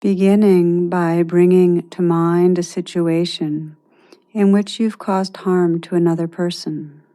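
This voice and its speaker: A woman guides a meditation with a mellow, relaxed tone. She speaks very slowly and gently, leaving long pauses. Her delivery stays even and calm in pitch.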